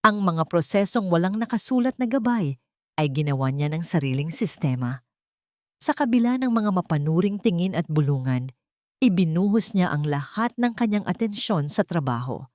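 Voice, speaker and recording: A woman's voice: fairly low pitch, animated, normal speed, a little mellow, no room sound, clean studio sound. A woman with a Filipino accent narrating a scripted romantic drama as a storyteller.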